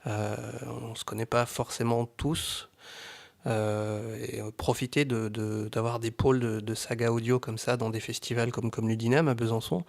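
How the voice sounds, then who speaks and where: A man's voice: medium pitch, level tone, moderate pace, creaky. A man speaking casually as a guest on a live panel, hesitant and searching for his words. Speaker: guest, amateur audio fiction creator.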